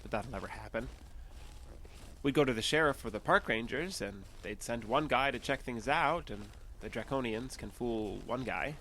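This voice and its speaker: A man's voice: medium pitch, moderate pace, fairly bright tone, a little hiss or hum, natural voice. A man plays a young alien fugitive passing as human in an audio drama. The character is earnest and matter-of-fact, with natural, slightly hesitant speech. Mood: explaining, insistent.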